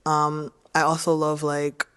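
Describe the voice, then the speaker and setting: A woman's voice: sits low, monotone, unhurried, a bit bright, clear, resonant tone. A Jamaican woman in her thirties, a dating show contestant, chatting casually with plenty of "like"s. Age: 30s. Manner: chatty, uses many likes, laughs a lot.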